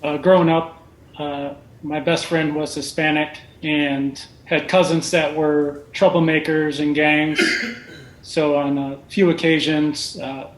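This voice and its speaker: A man in his forties, a former police officer, speaking in a measured, hesitant way about his experiences. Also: on the high side. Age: 40s. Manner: measured, hesitant with frequent uhs. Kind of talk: interview testimony. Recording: roomy echo.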